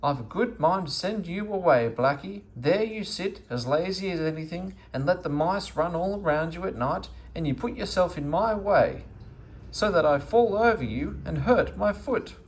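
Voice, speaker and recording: Man as grumbling old woman, storybook character, bossy, scolding, raised pitch, swooping intonation. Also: animated, moderate pace. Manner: querulous, bossy complaining. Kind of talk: children's storybook read-aloud.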